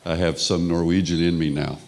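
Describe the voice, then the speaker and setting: A middle-aged man from Texas with a Texan accent, giving a relaxed, conversational conference lecture with frequent restarts. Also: low-pitched, a little fast, creaky. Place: conference hall.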